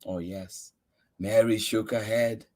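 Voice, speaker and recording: A man reading a children's picture book aloud as a story-time host, with expressive, deliberate emphasis on key words. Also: lowish voice.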